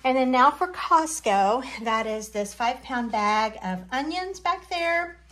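Chatty, higher-voiced woman vlogger. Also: animated, slow pace, fairly bright tone. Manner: informal, folksy, rambling asides. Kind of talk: chatty grocery haul narration. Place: home kitchen, indoors.

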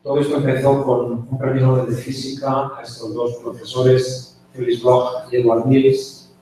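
Male lecturer, 60s, Castilian Spain accent, even delivery with pauses, very reverberant auditorium recording. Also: medium pitch. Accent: Spanish from Spain. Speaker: guest lecturer, chemist. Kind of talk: conference lecture. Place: university auditorium, indoors. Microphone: handheld mic, picked up by distant camera. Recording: echoey hall from far off, faint noise.

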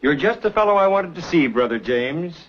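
An actor playing a pompous, domineering middle-aged church elder in a 1950s television western, formal and self-important. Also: male voice, fairly high pitch, animated, brisk, a little hiss or hum, lightly acted. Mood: smug, commanding. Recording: muffled old TV soundtrack.

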